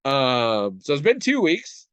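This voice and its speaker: A man's voice: medium pitch, wildly swooping pitch, slow pace, a bit bright, clean tone, no echo. A man in his forties co-hosting a podcast. He is stuffed up and talks in a rambling, self-deprecating way with many restarts. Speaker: podcast co-host. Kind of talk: casual chat.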